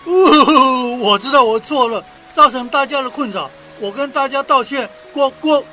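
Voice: high voice, moderate pace, bright, forceful tone, faint music behind. Timid, polite little frog squad leader. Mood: apologetic. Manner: polite, apologetic, ending lines with a croak word. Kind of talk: children's radio drama acting.

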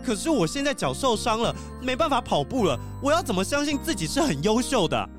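A woman's voice: fairly high pitch, sing-song, brisk, music in the background. A woman voicing a despondent, remorseful young animal runner in a children's audio drama. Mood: despairing.

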